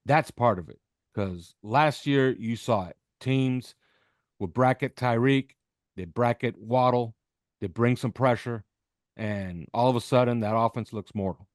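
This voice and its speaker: A man's voice: mid-range voice, unhurried, no room sound. A man with a mid-range voice breaks down football as a podcast guest, unscripted and emphatic. He punctuates his points with short check questions. The sound is dry and close, with very little room on it. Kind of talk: unscripted sports analysis.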